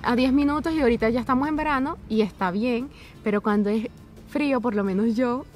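Chatty young woman, slightly high voice. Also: quick pace, soft background music. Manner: chatty, expressive, gestures while talking. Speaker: vlogger, university student in Austria. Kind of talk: casual chat. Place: outdoor garden bench by a building.

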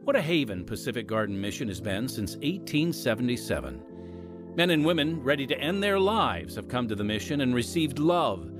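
Dramatic radio announcer, animated man. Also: mid-range voice. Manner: dramatic, measured delivery. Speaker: announcer and narrator. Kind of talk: scripted radio drama narration. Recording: music under the voice. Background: music.

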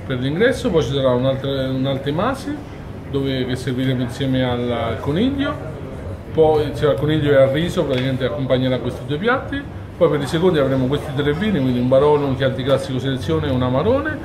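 A man's voice: mid-range voice, sing-song, fairly quick, a crowd in the background, rough recording. A man in his forties from Umbria, a chef talking in detail during an on-camera interview, with frequent fillers and restarts. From Umbria, Italy. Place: busy restaurant kitchen during service. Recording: kitchen noise behind.

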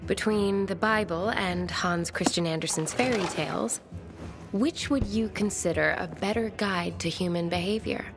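A woman's voice: lowish voice, normal speed, vocal fry, over music. An actress playing a bookish, romantic and courteous woman survivor in a video game, speaking formally and thoughtfully. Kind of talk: video game cutscene dialogue.

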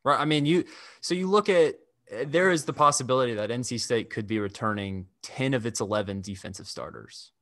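A young man hosting a sports podcast over a video call, talking in a measured, analytical way at a desk microphone. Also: mid-range voice, fairly bright tone, no room sound, clean studio sound. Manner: measured, analytical, restarts phrases. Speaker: podcast host. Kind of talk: casual sports talk. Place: indoor room.

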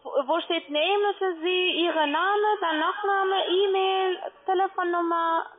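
A thin, muffled phone line carries a woman's high voice. She is a call-centre agent and not a native speaker of German. Her phrasing is halting and she pushes the customer through each step. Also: fairly even intonation. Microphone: telephone.

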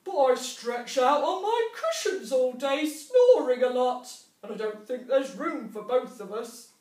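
A woman voicing a lazy, brusque storybook badger with a loud, barking delivery. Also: high voice, expressive, unhurried, voice pushed up. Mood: brusque refusal. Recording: roomy classroom, distant mic.

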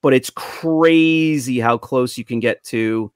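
A man in his thirties chats casually as he shows off items to friends. His voice has a middle pitch and sounds close and dry, as on a studio mic in a small room. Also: slow, clear, resonant tone, no room sound. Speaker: panelist showing collectibles. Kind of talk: casual show-and-tell chat. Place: small room of collectibles at home, video call. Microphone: close studio mic on arm, headphones.

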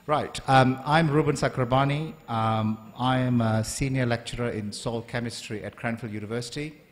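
A man in his forties, from Malaysia, giving a polite, measured university lecture at a stand microphone with frequent ums and uhs. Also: medium pitch, fairly even intonation. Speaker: guest lecturer. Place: large lecture hall, standing at a lectern. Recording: long hall reverb.

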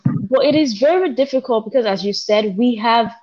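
A woman's voice: fairly high pitch, normal speed, a little mellow. A young African woman co-hosting a casual discussion from home over a video call, talking with passion and gesturing. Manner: passionate, gestures while talking, some restarts. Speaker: co-host. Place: indoors at home. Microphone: video call, front camera. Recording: muffled video call audio.

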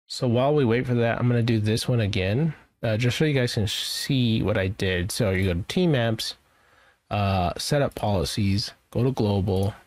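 A man presenting a software tutorial in a casual, informal way, thinking aloud as he goes. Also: fairly low pitch, no room sound. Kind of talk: casual screen-share software walkthrough.

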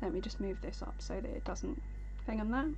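A woman's voice: medium pitch, brisk, darkish tone, slight background noise. A woman chatting casually on a live game stream, rambling as she plays and thanking viewers by name. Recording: game music playing underneath.

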